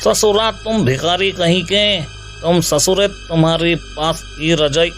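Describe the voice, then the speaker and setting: A man acts out a grumpy, money-grubbing shopkeeper from a comic horror cartoon. His voice sits high and he speaks slowly in scolding, rustic idiom. Music plays clearly behind him. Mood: indignant. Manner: scolding, colloquial rustic speech. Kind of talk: animated Hindi comic horror story acting. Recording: background music throughout.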